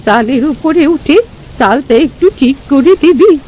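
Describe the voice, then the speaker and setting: A woman's voice: sits high, expressive, measured pace, mellow. A woman voicing an eerie, pleading old village granny in a Bengali cartoon. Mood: coaxing, plaintive. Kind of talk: Bengali cartoon story voice acting. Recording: noisy, with rain ambience.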